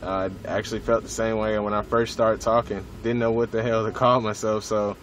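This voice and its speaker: A man's voice: on the low side, little pitch movement, brisk, a little hiss or hum, poor audio. Laid-back American man on CB radio. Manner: laid-back, slangy, profane. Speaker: CB radio hobbyist. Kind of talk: casual CB radio chat.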